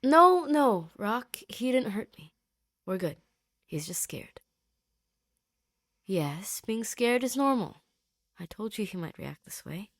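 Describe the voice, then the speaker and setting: A woman voicing a gentle, earnest male scientist in audio fiction, soothing and slightly rambling as he reassures. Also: mid-range voice, big pitch swings, slow, pauses often, dry recording, studio quality, voice pushed up, heavily acted. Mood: gentle, explaining. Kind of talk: character dialogue in audio fiction.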